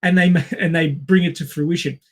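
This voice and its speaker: A man with a fairly high-pitched voice co-hosts a casual movie discussion. He explains at length and wanders into tangents, often pausing to find the next word. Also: fairly even intonation. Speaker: podcast co-host.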